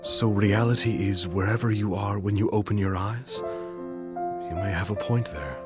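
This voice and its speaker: A melancholy, polite gentleman acted by a man in a video game. His voice is on the low side, reserved and musing in formal phrases, with music clearly playing behind. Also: a little slow, natural voice. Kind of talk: animated video game voice acting.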